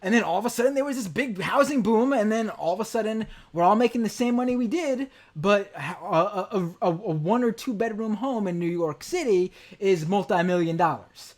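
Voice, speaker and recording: A man's voice: high-pitched, moderate pace, few pauses. A man in his thirties hosting a podcast interview, talking bluntly and jokily with some swearing. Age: 30s. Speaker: show host. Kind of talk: casual podcast chat.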